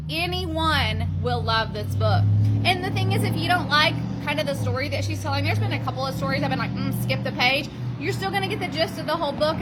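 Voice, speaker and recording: A woman's voice: high-pitched, heavy background noise. A middle-aged woman who works as a teacher and librarian, chatting earnestly about a book with many ums. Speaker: teacher and school librarian reviewing books. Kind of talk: casual book review vlog.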